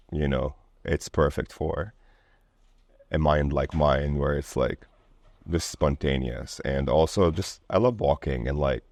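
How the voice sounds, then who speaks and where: A man from Lebanon with a very deep voice talks as a guest in a relaxed interview. He rambles informally, leaning on "like" and "you know". The sound is dry, with little room in it. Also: no room sound. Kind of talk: casual conversational interview.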